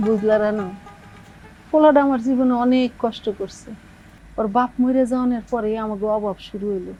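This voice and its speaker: Actress playing widowed village mother, middle-aged, rural Bangladeshi accent, careworn, affectionate, plaintive, highish pitch, TV drama. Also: fairly quick, dark tone, muffled recording, a little hiss or hum, close to an everyday voice. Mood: wistful. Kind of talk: TV drama acting in village dialect.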